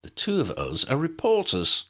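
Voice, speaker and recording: A man voicing a spirited, forthright young woman reporter in an audiobook reading, with a brisk manner. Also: a little high, huge pitch swings, bright, forceful tone, voice pushed up, exaggerated voice.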